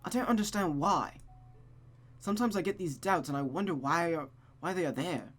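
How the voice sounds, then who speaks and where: A woman's voice: fairly low pitch, lively intonation, normal speed, pitched above the performer's own voice. A woman voicing an anxious young woman in a visual novel, earnest and hesitant as she confides. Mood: insecure, distressed. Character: Catherine, anxious young woman confiding about her fiancé.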